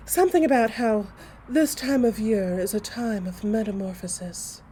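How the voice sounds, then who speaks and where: A woman acting a chatty, excitable gossip who tells stories to a friend in a theatrical, emphatic way, in a comic audio drama monologue. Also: mid-range voice, slight background noise, natural voice. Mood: dramatic recounting.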